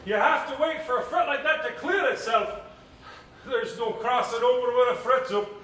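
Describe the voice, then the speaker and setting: A man playing a plain-spoken, gruff country pony-and-trap driver in an amateur stage play. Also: extremely high pitch, moderate pace, bright, forceful tone. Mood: matter-of-fact. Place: theatre stage, recorded from the audience. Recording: echoey stage, far from mic, faint noise.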